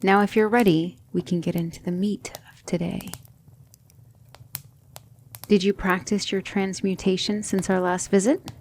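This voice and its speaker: Woman playing female demonic mentor, ASMR roleplay, gentle, exacting, measured formal delivery, noticeable vocal fry, faint noise behind. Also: medium pitch, flat delivery, natural voice. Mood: composed, approving.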